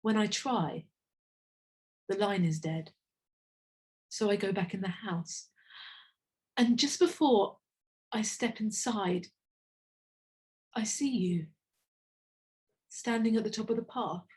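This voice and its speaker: A woman's voice: mid-range voice, a little mellow. Woman reading a tender spoken-word poem. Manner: slow, intimate, reflective delivery. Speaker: poet.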